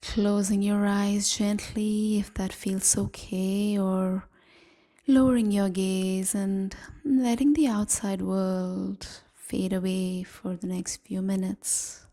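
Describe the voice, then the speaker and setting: A woman leading a guided meditation in a gentle, soothing, half-whispered voice, leaving long pauses between phrases. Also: medium pitch, little pitch movement. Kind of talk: guided evening body scan meditation.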